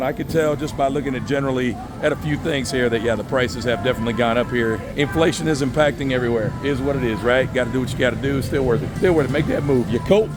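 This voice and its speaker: A man from the United States, a bit hoarse from losing his voice, chatting casually in a travel vlog as he drives and shops. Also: mid-range voice. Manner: laid-back, slangy, chatty. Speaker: travel vlogger. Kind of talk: casual vlog talk while driving and shopping. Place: in a car and a mall outdoors, city traffic. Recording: street and traffic noise behind.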